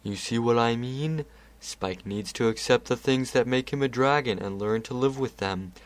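A man voicing a lazy, sardonic male chaos spirit with a calm, wry drawl. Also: medium pitch, moderate pace, lightly acted.

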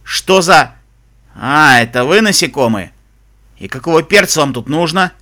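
A man voicing a grumpy, greedy zebra smuggler captain in an audiobook, with a hoarse, gruff voice and barking sailor talk. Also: a little high, lively intonation, unhurried, sharp tone. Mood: angry, grumbling. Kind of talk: audiobook character voice.

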